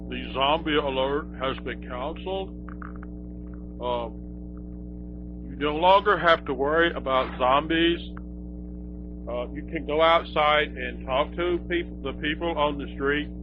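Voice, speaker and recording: A dull, lo-fi broadcast with clear music underneath carries a flustered official announcer, acted out by a man. The voice sits a little high and stumbles along, repeating itself in awkward reassurance like a mock emergency alert. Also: unhurried, long pauses, close to an everyday voice. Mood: awkward, reassuring. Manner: hesitant, repetitive, awkwardly reassuring.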